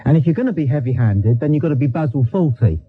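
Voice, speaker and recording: A man's voice: mid-range voice, animated, quick pace, breathy edge. A British man hosting a live radio phone-in, talking in a sardonic, mock-indignant way. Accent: British English. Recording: lo-fi phone-like radio recording, faint music.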